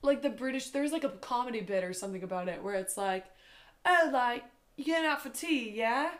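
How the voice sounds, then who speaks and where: A woman's voice: a little high, expressive, a little fast. A young woman chatting casually as a podcast co-host, full of "like" and playful teasing. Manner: filler-heavy, lots of like, playful teasing. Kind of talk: casual chat.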